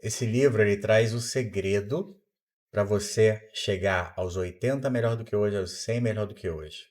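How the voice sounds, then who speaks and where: A man's voice: lowish voice, measured pace, darkish tone. A Brazilian doctor in his fifties, a podcast guest who explains things in a teaching way and answers his own rhetorical questions. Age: 50s. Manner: didactic, asks himself rhetorical questions and answers them. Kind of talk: explanatory interview talk.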